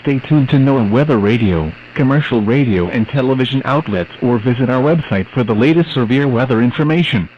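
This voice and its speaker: A man reads a scripted emergency weather bulletin in a formal, measured, synthetic delivery. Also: medium pitch, animated. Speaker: weather radio announcer. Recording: dull, noisy weather radio broadcast.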